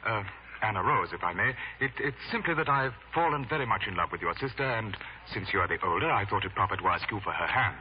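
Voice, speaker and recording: British navy commander, played by a man: gallant, flustered, stammering gentleman. 1940s radio play, dull old recording. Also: on the low side, lively intonation, fast talker, slight background noise, natural voice. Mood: flustered, polite. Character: lovestruck, proper, flustered British naval commander. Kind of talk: 1940s radio drama acting.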